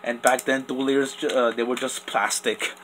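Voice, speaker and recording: A man's voice: mid-range voice, level tone, normal speed, fairly bright tone, a little hiss or hum. A young man, possibly a teen, chatting off camera as he runs toy top battles, rambling with lots of "like" and restarts. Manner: rambling, full of "like" and "literally", many restarts. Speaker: toy battle channel host. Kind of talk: casual off-camera commentary over toy battles.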